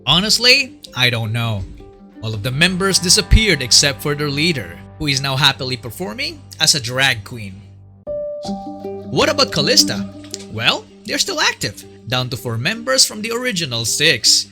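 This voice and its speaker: A man's voice: a little high, wildly swooping pitch, normal speed, over music. A man narrating a fan-made documentary from a script, in a chatty way that asks the viewer questions.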